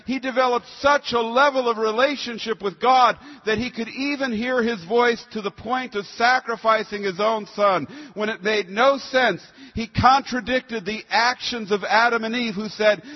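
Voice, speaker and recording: A man with a high-pitched voice preaches in an emphatic, rhetorical way, moving between scripture and explanation. His words carry a long hall reverb, and the recording is somewhat dull and muffled. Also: steady tone, moderate pace, hardly any pauses. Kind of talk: sermon with scripture readings.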